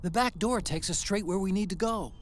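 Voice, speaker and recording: A fairly high-pitched American male tech expert from a video game, played by an actor, chatty and helpful. He explains things in a friendly way with a light teasing edge, over some background noise. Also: brisk, close to an everyday voice. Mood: helpful, explaining.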